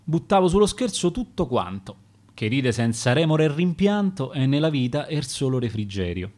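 A man's voice: mid-range voice, sing-song, normal speed, a little mellow. A man with a Roman accent reciting his own dialect sonnets for radio in a rhythmic, dramatic way. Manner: rhythmic, dramatic verse delivery.

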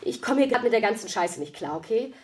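An actress playing Veronika, a restless, blunt young actress who swears and argues in a radio drama. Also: female voice, fairly high pitch, lively intonation, fast talker, lightly acted. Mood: frustrated. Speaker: actress in an ensemble.

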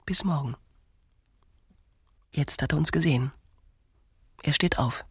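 A woman's voice: low-pitched, measured pace, breathy edge, no echo, clean studio sound, heavily acted. An actress playing an anxious, restless woman in a radio drama, speaking in clipped, urgent phrases. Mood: tense. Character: anxious, restless woman desperate to escape from people.

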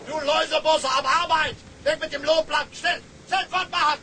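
An actor playing a domineering, hard-drinking tugboat captain with a German accent, gruff and cursing as he barks orders. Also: very high-pitched, steady tone, normal speed, sharp tone, a faint crowd, a little hiss or hum. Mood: barking, furious. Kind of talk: film drama acting.

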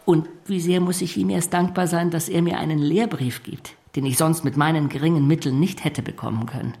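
An actor in a German radio drama playing a fawning young law clerk, falsely humble, with a servile, oily manner. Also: male voice, a little high, fairly even intonation, fairly quick, vocal fry, darkish tone. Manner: servile, self-abasing, oily.

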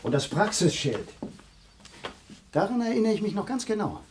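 A man's voice: high voice, very animated, moderate pace, darkish tone. Testy husband: male, emphatic and sarcastic, swinging intonation, radio mystery with faint noise. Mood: doubting, reflective. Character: irritable, insistent husband shaken by a strange night. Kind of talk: German radio crime drama acting.

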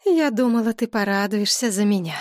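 A woman's voice: sits high, sing-song, normal speed, dry recording, clean studio sound, voice pushed up. Woman playing a scornful, offended mother. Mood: indignant. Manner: sharp, scornful, raised voice. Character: offended middle-aged mother, mocking and defensive.

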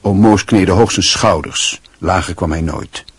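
An actor playing a dry, wry literary narrator in a radio play, with a husky voice. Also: male voice, deep voice, unhurried, dry recording, exaggerated voice. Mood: wry, reflective.

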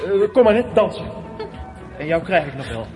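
Bullying young gang leader, highish voice, bossy sneer, music playing behind. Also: male voice, sing-song, normal speed. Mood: sneering, threatening. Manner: commanding, sneering. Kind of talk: radio play acting.

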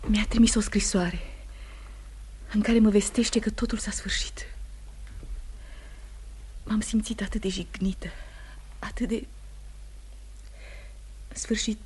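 An actress playing a wounded, anxious young wife in a radio play, breathy and often hushed, earnest and halting. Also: female voice, medium pitch, close to an everyday voice. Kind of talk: Romanian radio theatre acting.

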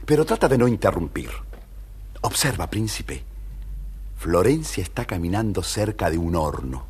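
A man's voice: deep voice, big pitch swings, moderate pace, darkish tone, husky. A man narrating a scripted children's fairy tale as storyteller, theatrical, firm and explanatory.

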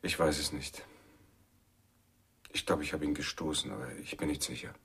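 Subdued jazz musician on the run, super deep n breathy, noir radio play. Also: male voice, steady tone, normal speed, fairly bright tone, full character voice. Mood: quiet, unsure. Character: haunted, subdued male jazz pianist on the run. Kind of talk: German radio drama acting.

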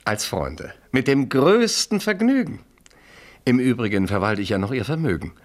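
An actor in a German radio play as a suave, self-satisfied French marquis, courtly and flowery in his flattery. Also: mid-range voice, normal speed, close to an everyday voice. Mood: suave, pleased. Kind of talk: German radio play acting, period drama.

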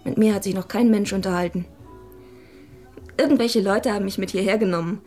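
An actress playing a shy, frank twenty-year-old would-be writer, naive and earnest, in a radio drama. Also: female voice, mid-range voice, fairly quick, mellow, faint music behind, close to an everyday voice. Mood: forlorn, frank.

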